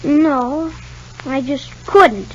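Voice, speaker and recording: Radio soap: small boy, high voice, polite, downcast, reticent; dull vintage recording. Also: drawn-out words, slight background noise. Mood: guarded, innocent. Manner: reticent, short hesitant answers. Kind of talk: 1950s radio soap acting.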